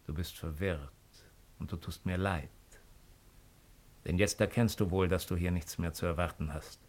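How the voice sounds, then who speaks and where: Actor as low-voiced, calculating Roman agent. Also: male voice, steady tone, moderate pace, close to an everyday voice. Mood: pressing, pitying. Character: cold, calculating male Roman imperial agent. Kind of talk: 1950s German radio drama acting.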